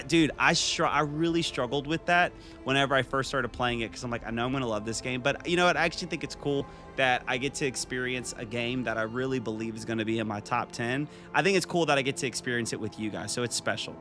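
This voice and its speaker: A man's voice: medium pitch, fast, hardly any pauses, sharp tone, faint music behind. Chatty man in his thirties streaming a game. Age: 30s. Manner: chatty, upbeat, reads chat aloud and talks to viewers. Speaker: gaming streamer. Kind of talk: casual live stream chat while gaming.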